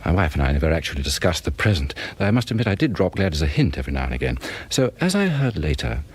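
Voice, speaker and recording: An actor in his fifties with a British accent playing a fussy, brooding husband in a radio drama, measured and confiding. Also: low voice, very animated, breathy edge, full character voice. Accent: British English. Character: fussy middle-aged husband confessing a dark urge to kill.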